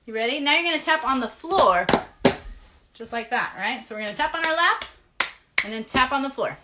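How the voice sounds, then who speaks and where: A woman with a high voice leads children through play as a live show host. She talks to them directly, instructing and encouraging. The live-stream recording is very noisy, with clicks. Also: expressive, brisk. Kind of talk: interactive kids' play session, teaching and singing a hand game.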